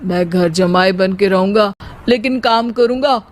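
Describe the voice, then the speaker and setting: Woman playing slow-talking, theatrical, lazy young husband. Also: mid-range voice, natural voice. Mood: sheepish, earnest. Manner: declaims in rhyming couplets, theatrical. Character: lazy, theatrical young married man who spouts comic rhyming poetry. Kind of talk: cartoon story acting.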